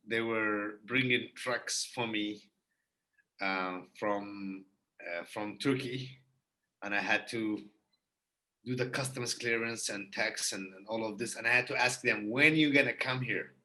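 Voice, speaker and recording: Guy on a podcast, bright voice, earnest, keeps repeating himself for emphasis. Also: mid-range voice. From Iraq. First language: Kurdish. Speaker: guest. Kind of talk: heartfelt conversation.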